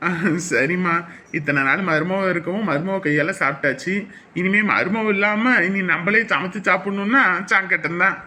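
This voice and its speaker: An elderly village father-in-law, as an actor puts him on, fussing and repeating his advice in a bright, clear voice. He speaks at an ordinary middle pitch, tender and chatty with family. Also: normal speed, natural voice. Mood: fond. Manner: fussy, repeats safety advice. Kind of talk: family drama acting.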